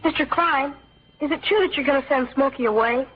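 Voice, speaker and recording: A man's voice: extremely high pitch, a little fast, soft background music. A young male actor playing an earnest, stubborn schoolboy with an American accent in an old-time radio drama. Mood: earnest defiance. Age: child actor or young teen. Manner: earnest, emphatic. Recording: dull, lo-fi vintage radio recording.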